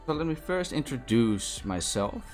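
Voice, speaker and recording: A man's voice: mid-range voice, sing-song, normal speed, a little mellow, soft background music. A young man from the Netherlands with a Dutch accent, talking through a Minecraft build in a casual, enthusiastic way, with many fillers and restarts. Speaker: Minecraft building tutorial host. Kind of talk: casual gameplay commentary.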